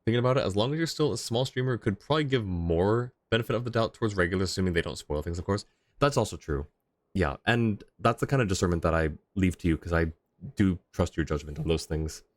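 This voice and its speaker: A man livestreaming a game with casual, thoughtful commentary as he chats with viewers, often pausing to find his words. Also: sits low, lively intonation, dry recording. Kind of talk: casual live commentary, answering chat.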